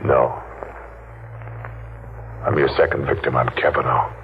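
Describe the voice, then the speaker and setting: An actor playing a menacing masked man seeking revenge, taunting and deliberate, in 1940s radio acting style. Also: extremely low pitch, steady tone, a little hiss or hum. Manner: taunting, slow and deliberate, laughs mockingly. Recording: muffled old radio recording.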